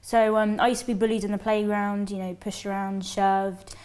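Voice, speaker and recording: A woman's voice: medium pitch, flat delivery, moderate pace, a little mellow. A young woman from Bangladesh, a guest in a TV studio, speaking English as her second language and telling her story earnestly, with lots of "you know" and "um". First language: not English. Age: young adult. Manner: earnest, many fillers and you knows. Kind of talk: personal story in a studio interview.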